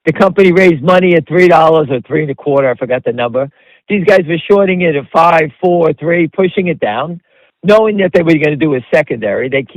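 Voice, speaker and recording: A man's voice: on the high side, no room sound, rough recording. A man hosting an off-the-cuff stock commentary call, speaking bluntly and emphatically, repeating himself as he addresses listeners directly. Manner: conversational, talks directly to listeners.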